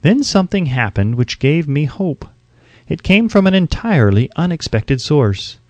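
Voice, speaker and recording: A man reading an audiobook as scripted narration, in a measured, emphatic, oratorical way. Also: on the high side, big pitch swings, a little mellow, no echo, muffled recording.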